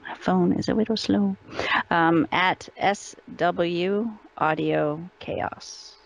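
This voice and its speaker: Woman guest on a casual interview, lots of vocal fry, slightly muffled lofi audio. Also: lowish voice, fairly even intonation, slow pace. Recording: slightly dull lo-fi call audio.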